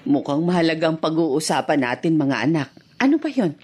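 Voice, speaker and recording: A woman playing a middle-aged, forgiving, long-suffering mother in a Filipino radio drama, gentle and earnest. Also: low-pitched, sing-song, a little fast, soft background music.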